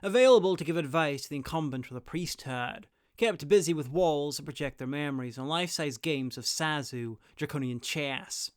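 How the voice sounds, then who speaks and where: A man's voice: fairly high pitch, clear, resonant tone, no room sound, studio quality. A man narrating a scripted review as host of a guide to audio stories.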